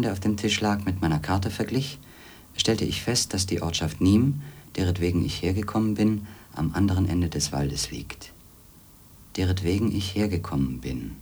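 Deep-voiced, pensive ex-editor. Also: male voice, darkish tone, breathy edge. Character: skeptical, world-weary former magazine editor, male narrator of story. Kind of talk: German radio drama, introspective narration and dialogue.

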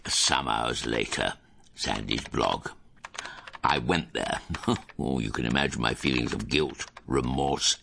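An actor playing a fussy, bumbling, self-important older grandfather in a radio comedy drama, with a gravelly, husky voice and a hesitant, self-justifying manner. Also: a bass voice, pushed and bright, no echo, full character voice. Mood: wry, self-important. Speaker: actor, lead and narrator.

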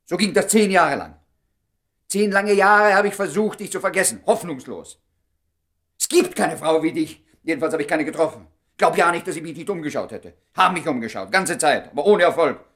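A man's voice: fairly high pitch, animated, normal speed, a bit bright. An actor playing a jealous, sardonic, lovesick man in his thirties in a radio comedy drama, blustering and stammering when worked up. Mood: aggrieved. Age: 30s.

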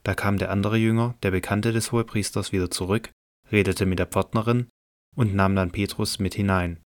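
A man narrating a scripted Bible audio drama in a measured, sober way. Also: on the low side, steady tone, dry recording, studio quality.

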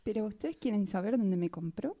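Woman acting as a weary, plaintive talking sock, mellow. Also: medium pitch, animated, close to an everyday voice. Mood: resigned, grumbling. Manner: halting, repetitive, plaintive asides. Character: weary, overused female sock complaining about its owner. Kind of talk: improvised radio-theatre monologue.

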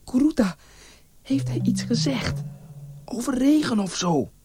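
A man's voice: extremely high pitch, animated, a little slow, voice pushed up. A man voicing a worried, trusting village wife and mother in a story, earnest and questioning. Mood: concerned, curious. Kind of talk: storybook character voice.

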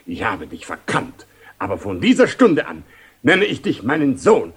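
An actor playing a proud older military commander in a 1970 German radio play, speaking with rough dignity and declamation. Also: male voice, mid-range voice, wildly swooping pitch, normal speed, exaggerated voice. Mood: proud proclaiming. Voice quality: very husky, rough.

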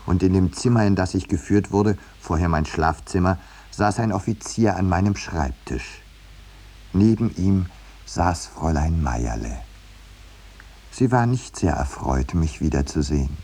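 An actor playing a mild, politely ironic landscape painter in a 1950s German radio play, speaking in a dry, courteous way. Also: male voice, sits low, expressive, measured pace, warm tone, slightly rough, full character voice. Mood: wry narration.

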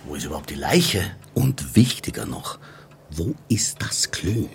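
Very deep, sardonic, grumbling Austrian inspector. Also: male voice, very animated, measured pace, vocal fry, slight background noise, heavily acted. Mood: brisk, commanding. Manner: muttering, sardonic asides. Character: weary, grumbling middle-aged Austrian police inspector. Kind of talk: radio crime drama acting.

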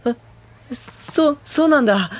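An actress playing a timid, easily flustered high school boy who speaks hesitantly and stammers in an audio drama. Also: expressive, a little slow, very noisy. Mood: startled. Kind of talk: anime-style audio drama acting.

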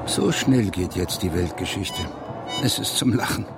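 An actor playing a bitter, fearful, educated conservative doomsayer in a German radio drama, with a sardonic, rhetorical delivery. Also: male voice, low-pitched, unhurried, loud music behind the voice. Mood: bitter mockery. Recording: clearly noisy background ambience.

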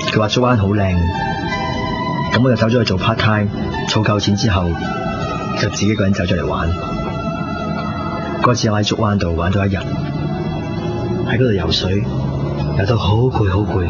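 A man's voice: fairly low pitch, close to an everyday voice. Man acting young Cantonese boyfriend, dull radio sound. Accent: Hong Kong Cantonese. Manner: casual, playful, intimate. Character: young man in love, tender, teasing boyfriend. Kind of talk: 1990s Hong Kong radio drama acting. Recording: dull lo-fi radio recording, loud music. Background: loud music.

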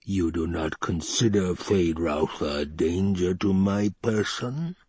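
A man voicing a menacing obese baron who speaks slowly in a silken tone and then roars. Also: sits low, darkish tone, husky, no room sound. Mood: silken menace.

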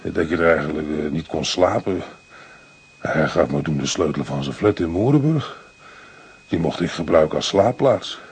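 An actor in a radio play, playing a distraught, guilt-ridden poor painter who stammers, halts and repeats himself. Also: male voice, very deep voice, expressive, normal speed, a little mellow, husky, heavily acted. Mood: troubled. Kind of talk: Dutch radio play acting.

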